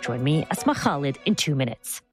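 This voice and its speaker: A woman hosting a news program, narrating in a clear, conversational storytelling style. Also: deep voice, very animated, a little fast, soft background music. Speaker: program host. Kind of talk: scripted radio narration.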